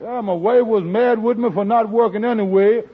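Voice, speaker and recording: An actor playing a lazy, blustering, scheming husband in a folksy, ungrammatical 1940s radio sitcom style, with a husky voice. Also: male voice, sits high, moderate pace. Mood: indignant. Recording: muffled, husky old radio recording.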